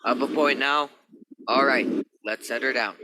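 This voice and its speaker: A man's voice: medium pitch, measured pace, heavy background noise, natural voice. A man playing a stern naval spaceship captain in a radio drama, giving clipped, authoritative orders. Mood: commanding, steady. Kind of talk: old-time radio drama acting.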